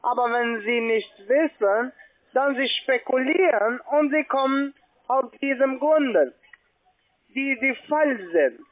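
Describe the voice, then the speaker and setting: A man's voice: extremely high pitch, expressive, takes it slowly. A man with a foreign accent, not a native German speaker, working as a call-centre agent and questioning a customer in a pushy sales call. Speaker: call-centre agent selling online trading. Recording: narrow, tinny phone line.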